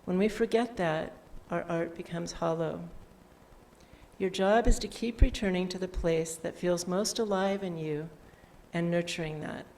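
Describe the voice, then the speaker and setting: A woman leading a church service, reading a prepared reflection in a measured way. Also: on the low side, fairly even intonation, darkish tone, a little hiss or hum, low-quality recording. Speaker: service leader. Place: church sanctuary.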